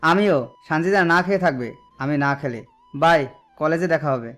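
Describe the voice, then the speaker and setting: A man's voice: a little high, moderate pace, fairly bright tone, lightly acted. A man playing a concerned, nagging young college friend in an audio love story. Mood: concerned, casual. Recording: music bed under the voice.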